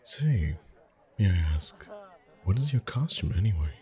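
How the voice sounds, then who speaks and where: A man playing a friendly, chatty, gently flirtatious festival face painter in a soft-spoken ASMR roleplay, with a gentle, rambling manner. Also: fairly low pitch, big pitch swings, measured pace, faint music behind. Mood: warm, attentive. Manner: gentle, rambling, softly chuckling. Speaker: ASMR voice actor.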